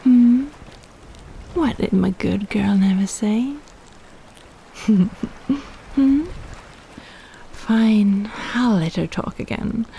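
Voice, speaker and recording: A woman's voice: medium pitch, extremely slow, creaky, pauses often, mellow, slight background noise, rough recording. A woman playing a possessive, teasing kraken in an intimate roleplay audio drama, coaxing and condescending as she schemes. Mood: smug teasing.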